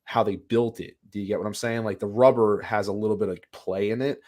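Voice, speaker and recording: A man with a raspy voice from a sinus infection, chatting as a podcast co-host in a rambling way with many restarts. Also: on the low side, fairly even intonation, a little fast, darkish tone. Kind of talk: casual podcast chat.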